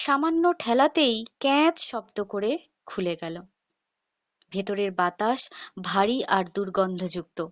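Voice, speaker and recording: Female horror narrator, measured and dramatic, mid-pitched, on a narrow phone-like recording. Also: no echo. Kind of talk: scripted first-person ghost story narration. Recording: tinny phone-like narrow band.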